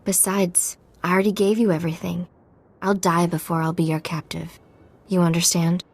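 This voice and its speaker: An actress playing a weary, remorseful but defiant female defector in a video game, with a breathy voice. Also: fairly low pitch, level tone, normal speed. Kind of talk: video game drama acting.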